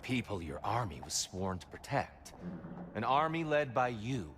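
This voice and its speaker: A man playing a calm, wise guide in a video game, speaking in a measured, formal, probing way. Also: mid-range voice, background noise. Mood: solemn, probing. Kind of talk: cinematic video game acting. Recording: music under the voice.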